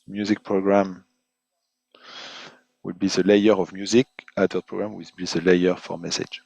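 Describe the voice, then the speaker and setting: A man presenting an unscripted webinar software demonstration in halting, hesitant phrases, often repeating words as he goes. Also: deep voice, flat delivery, vocal fry, no room sound, rough recording. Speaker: product presenter giving a software demo.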